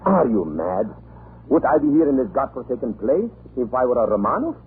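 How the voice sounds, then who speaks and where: An actor playing a talkative, irreverent Russian government official in a radio drama, chatty, excitable and theatrical. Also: male voice, mid-range voice, brisk, close to an everyday voice. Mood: scornful bewilderment. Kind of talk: 1940s radio drama acting. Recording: muffled, noisy old radio recording.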